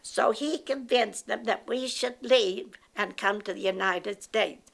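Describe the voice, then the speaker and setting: A woman in her nineties from Belgium, reminiscing about her life in a slow, steady interview. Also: medium pitch, gravelly. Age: 90s. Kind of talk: personal life story told in an interview.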